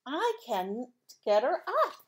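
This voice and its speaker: A woman's voice: sing-song, slow, exaggerated voice. A woman doing a flustered storybook mom. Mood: fretting. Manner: high, worried exclamations. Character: fretful, flustered storybook mother.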